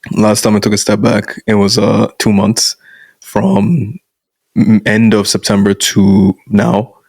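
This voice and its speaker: A young man with a fairly low voice talks bluntly and casually as a podcast guest, dropping "bro" into his points. He grew up in Canada. The sound is dry and close, with no room echo. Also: flat delivery, slow, vocal fry, a little mellow. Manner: frank, blunt, peppers speech with "bro". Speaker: guest masculinity coach.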